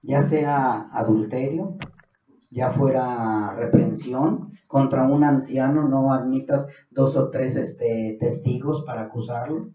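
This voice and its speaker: A man's voice: medium pitch, takes it slowly, soft background music. A man with a Mexican accent preaching a Bible study sermon, earnest and building to fervent emphasis. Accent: Mexican Spanish. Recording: distant, dull, echoey room.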